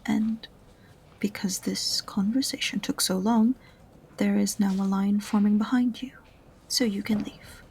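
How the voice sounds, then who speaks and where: Creaky, dry-witted witch librarian, acted by a woman. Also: mid-range voice, a little mellow, a little hiss or hum. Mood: curt, impatient. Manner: dry, exasperated, condescending. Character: unenthusiastic, sarcastic witch librarian, grudgingly helpful. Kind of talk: audio roleplay acting addressed to the listener.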